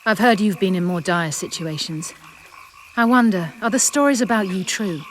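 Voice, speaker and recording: A woman voicing a brisk, haughty sorceress who speaks formally in a video game. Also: medium pitch, slight background noise, natural voice. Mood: cool, businesslike. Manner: formal, aloof.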